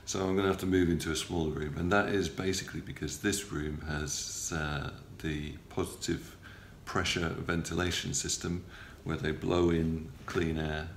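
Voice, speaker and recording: A middle-aged man with a cold, recording a halting, matter-of-fact video diary from a hospital room on a front-facing camera, with many ums and uhs. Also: low-pitched, flat delivery. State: has a cold, stuffy. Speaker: patient video blogger. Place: small hospital room, indoors. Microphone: phone or camera close up, front-facing. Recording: roomy with faint background hum.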